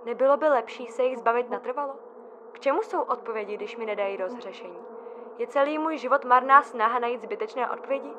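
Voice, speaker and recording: A woman acts out a distressed young female patient in a radio play. Her voice sits fairly high and she speaks with earnest insistence, as someone long shut away and tormented by her own questions. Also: lo-fi sound, noisy background, lightly acted. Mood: anguished, questioning. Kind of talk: dramatic audio drama monologue and dialogue.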